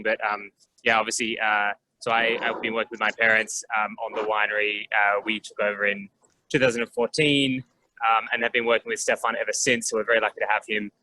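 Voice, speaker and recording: A man from the winery family gives a hesitant welcome on a video call while on the move, dotted with ums. His voice is low and flat in tune, with a sharp, bright edge. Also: poor audio. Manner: hesitant, many ums, apologetic. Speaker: winery owner.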